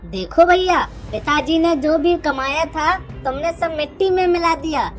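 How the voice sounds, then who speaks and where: A woman's voice: high-pitched, measured pace, faint music behind, voice pushed up. A woman voicing an arrogant, spiteful, boastful village headman, a cunning younger brother in a Hindi cartoon story. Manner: boastful, scornful.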